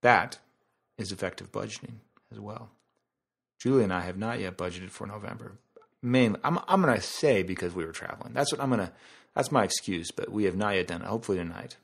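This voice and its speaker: A man's voice: fairly low pitch, a little fast, gravelly, husky. A man hosting a podcast in a casual, conversational monologue, explaining things through analogies and asides. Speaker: podcast host.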